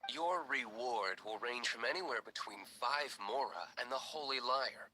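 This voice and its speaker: A man's voice: mid-range voice, animated, normal speed, noisy background, lightly acted. A male actor playing an aloof, guarded young tavern owner in a video game, curt, cool and formal in manner.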